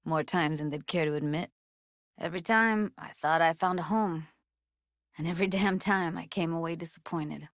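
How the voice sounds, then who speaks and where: A woman's voice: deep voice, normal speed, no echo, clean studio sound. A woman playing a guarded, blunt but tender young techie in a video game, speaking in a casual, clipped, streetwise way. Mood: reflective, tender. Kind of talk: naturalistic video game voice acting.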